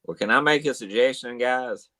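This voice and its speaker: A man's voice: medium pitch, normal speed, pushed and bright, dry recording. A man in his forties chatting casually as a panelist on a talk show. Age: 40s. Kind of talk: casual chat.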